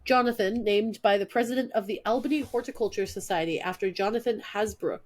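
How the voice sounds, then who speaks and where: A woman's voice: medium pitch, a little fast, a little mellow. Stuffy-voiced woman co-host, wry and dry, reading a list aloud in casual chat. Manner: wry, reads names and facts off a post. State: stuffed up from allergies. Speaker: podcast co-host.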